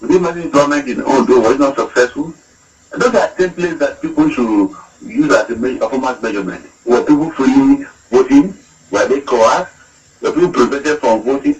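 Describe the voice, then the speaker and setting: A man's voice: medium pitch, a little fast. A man with a Nigerian accent speaking unscripted as a police spokesman, emphatic and repeating phrases for stress. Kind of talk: unscripted radio interview commentary. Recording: radio clip, distant from the mic.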